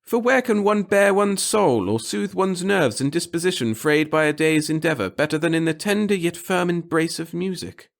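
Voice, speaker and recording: A man's voice: on the high side, lively intonation, moderate pace, hardly any pauses, voice pushed up. A man voicing a servile, fussy little clerk who babbles in flowery, pompous phrases, as a character in a sci-fi audiobook. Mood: pompous, devoted.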